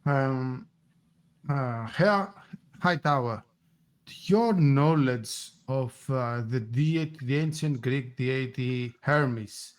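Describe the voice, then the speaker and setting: A man playing in a tabletop game, speaking in character with a halting, playful delivery. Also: medium pitch, clean tone.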